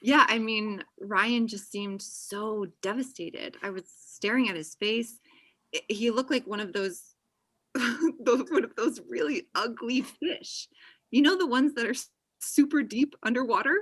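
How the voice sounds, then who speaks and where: A woman's voice: a little high, a little slow, fairly bright tone. A woman in her thirties playing a blunt, chatty female friend in a casual table read over a video call. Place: indoor room on a video call.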